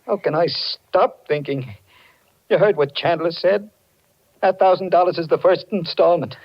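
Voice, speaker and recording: A man's voice: a little high, normal speed, natural voice. An actor playing an anxious, desperate married man with a guilty secret, in earnest, dramatic 1940s radio style. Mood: ashamed, desperate. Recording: dull, band-limited 1940s radio recording.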